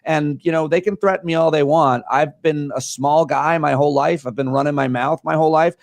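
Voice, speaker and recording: A man's voice: fairly high pitch, steady tone, vocal fry, no room sound. A man in his forties from Central New York, a comedian guest talking passionately and bluntly in an interview. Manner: passionate, outspoken, rapid bursts. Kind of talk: interview conversation.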